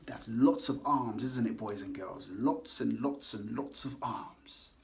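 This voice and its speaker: A man reading a picture book aloud to children, animated and coaxing, stopping to ask the kids questions. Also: medium pitch, measured pace, vocal fry, slight background noise. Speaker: children's story reader.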